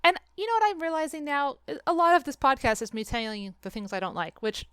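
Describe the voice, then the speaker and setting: A woman co-hosting a podcast in casual chat, with an animated, sarcastic manner and a readiness to swear. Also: sits high, quick pace, no room sound, studio quality. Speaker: podcast co-host. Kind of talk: casual podcast chat about a film and book.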